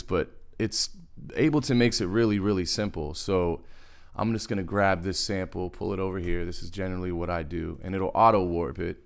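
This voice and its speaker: Deep-voiced man, gravelly, casual tutorial. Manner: laid-back slang, rambling asides. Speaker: music production tutorial host. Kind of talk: casual screen-recorded tutorial. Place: indoors, voice-over on a screen recording. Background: music from the software.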